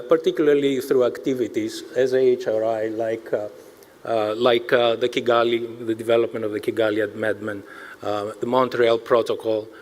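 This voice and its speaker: Halting man making a formal thank-you speech. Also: medium pitch, darkish tone. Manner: halting, hesitant delivery full of pauses. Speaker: industry association representative giving thanks. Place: indoor awards event, addressing an audience.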